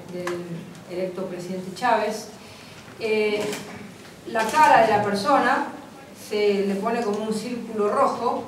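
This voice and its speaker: Woman, opposition politician, slightly high voice, far-off noisy echoey room, press briefing. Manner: measured, explanatory, with many fillers. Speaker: opposition deputy. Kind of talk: press conference statement.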